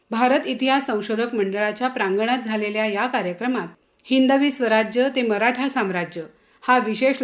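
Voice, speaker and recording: Higher-voiced woman reading scripted news. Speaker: newsreel presenter.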